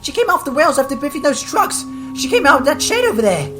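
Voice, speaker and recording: Woman voicing a high-pitched, anxious young boy tank engine. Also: very animated, fast, over music. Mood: flustered. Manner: stammering, pleading. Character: frightened young male tank engine, earnest and worried. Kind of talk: amateur audio drama acting.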